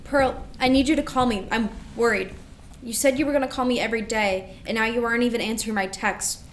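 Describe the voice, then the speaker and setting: An anxious older sister, acted by a woman in a play reading, protective and full of worry. Her voice is fairly high and pleading, with strong room echo. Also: fairly even intonation, a little fast, a little hiss or hum, close to an everyday voice. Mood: worried pleading. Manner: worried, pleading.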